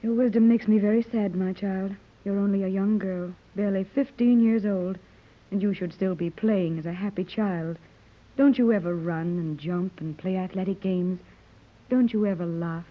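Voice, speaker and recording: A woman's voice: medium pitch, fairly even intonation, moderate pace, close to an everyday voice. A woman playing a tender, anxious wealthy American mother, earnest and melodramatic in 1930s radio serial style. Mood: concerned. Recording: muffled old 1930s radio recording.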